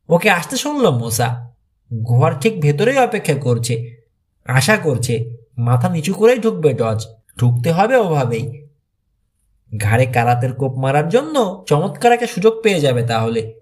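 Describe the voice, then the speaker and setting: Animated higher-pitched male storyteller. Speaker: audiobook narrator. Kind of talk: scripted dramatic story narration.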